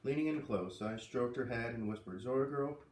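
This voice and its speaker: A man's voice: mid-range voice, fairly quick, darkish tone, recorded from a distance. A man reading a children's storybook aloud expressively, acting out the dialogue lightly. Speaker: reader of a children's book read-aloud series. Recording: roomy, voice away from the microphone.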